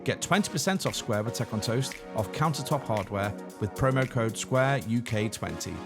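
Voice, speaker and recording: A man hosting a podcast, chatty and energetic, laughing often as he interviews a guest. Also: mid-range voice, moderate pace, music in the background. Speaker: podcast host. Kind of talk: casual interview.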